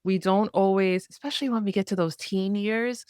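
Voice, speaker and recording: A woman's voice: mid-range voice, fairly even intonation, moderate pace, darkish tone, clear, resonant tone, dry recording, studio quality. A woman hosting a language podcast who interviews her guest with open enthusiasm. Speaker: podcast host.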